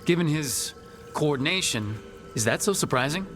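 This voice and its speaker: An actor playing a sceptical young adventurer hero in a video game, with a casual, wry, questioning manner. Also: male voice, a little high, expressive, moderate pace, natural voice. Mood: curious, wry. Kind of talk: conversational video game dialogue. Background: game music.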